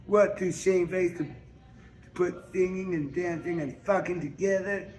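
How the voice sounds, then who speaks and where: A man voicing a bold, bawdy woman singer in an audiobook, with a frank and forceful manner. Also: high-pitched, fairly even intonation, measured pace, voice pushed up, rough recording. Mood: teasing. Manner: forceful, frank, teasing, coarse. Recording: faint background noise.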